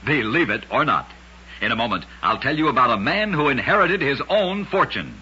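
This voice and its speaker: A man's voice: medium pitch, very animated, fairly quick, sharp tone, slightly rough. A man narrating scripted oddities as host of an old-time radio program, with a dramatic, emphatic storytelling delivery. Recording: muffled old radio recording.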